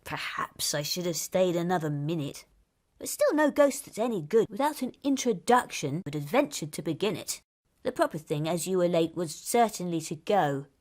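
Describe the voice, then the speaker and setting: A woman's voice: fairly low pitch, big pitch swings, moderate pace, a little mellow. A woman voicing a chatty, mischievous, mocking male ghost who fusses over haunting rules in a theatrical reading of comic verse. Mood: brisk, dismissive. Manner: theatrical, full of chuckles.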